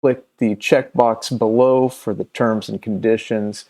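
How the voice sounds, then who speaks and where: A man in his fifties talks casually to his viewers in a fairly low, relaxed voice. His delivery is very slow and hesitant, as he keeps searching for the next word. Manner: halting, hesitant, searching for words. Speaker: comic creator and channel host. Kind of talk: casual promotional vlog talk. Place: small room at home, indoors.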